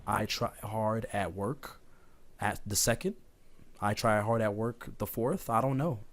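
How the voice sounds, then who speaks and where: A man with a slightly hoarse voice from being sick, talking casually and directly to his listeners as an online host giving rambling advice. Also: on the low side, unhurried. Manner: informal, conversational, addresses listeners directly. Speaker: host of an online talk series. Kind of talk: casual rambling advice chat over gameplay. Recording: clear background noise, game sounds. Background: video game playing in the background.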